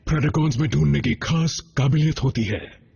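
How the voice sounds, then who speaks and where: An actor playing a cold, logical robot scientist villain in a dubbed cartoon, speaking formally and without emotion. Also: male voice, fairly high pitch, brisk, creaky. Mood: cold, matter-of-fact. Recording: dull band-limited sound, faint noise.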